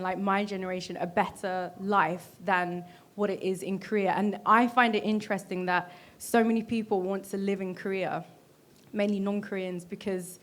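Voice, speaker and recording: A woman with a British accent, speaking as a panelist in an earnest, explanatory and reflective way. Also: mid-range voice. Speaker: panelist, Korean cultural ambassador.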